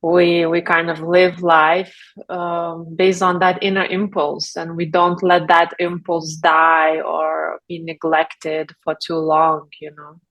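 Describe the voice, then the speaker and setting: A woman from Lithuania speaking English, talking in a reflective, unhurried way with frequent fillers and soft laughs as an interview guest. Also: fairly low pitch, level tone. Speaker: guest, brand founder. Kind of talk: casual interview talk.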